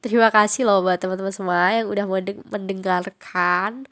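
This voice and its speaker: A woman's voice: medium pitch, fairly even intonation, moderate pace, fairly bright tone. Young Jakarta woman with a stuffy cold. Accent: Jakarta slang. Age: young adult. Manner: chatty, informal, frequent fillers. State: nasal from a cold. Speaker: podcast host. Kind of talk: casual solo podcast.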